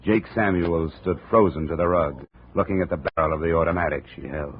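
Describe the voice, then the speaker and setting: An actor playing a wry, hard-boiled insurance investigator in a 1950 radio detective drama, deadpan and wisecracking. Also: male voice, deep voice, brisk, lightly acted. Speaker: narrator and lead actor. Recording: tinny, noisy old radio broadcast.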